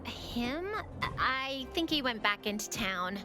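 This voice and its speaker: A woman's voice: a little high, animated, slow, sharp tone, heavily acted. A woman playing a casual, unbothered townswoman in a video game, with music behind her. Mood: offhand.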